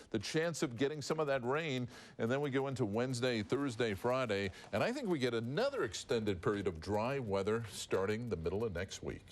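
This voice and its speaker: A man's voice: medium pitch, no echo. A man with an American accent presenting a conversational live TV weather forecast.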